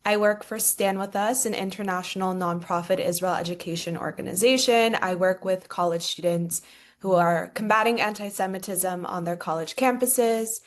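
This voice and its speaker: A woman's voice: mid-range voice, fairly even intonation, normal speed. A woman introducing herself fluently and composedly on a video-call webinar panel. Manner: fluent, composed, clearly stated. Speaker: panel guest.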